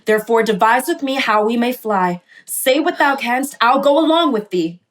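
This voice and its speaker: Woman as earnest, loyal young noblewoman, fairly high. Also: animated, normal speed, lightly acted. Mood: resolute. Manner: earnest, formal verse delivery. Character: devoted, resolute young noblewoman, loyal cousin. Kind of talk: Shakespearean radio play acting.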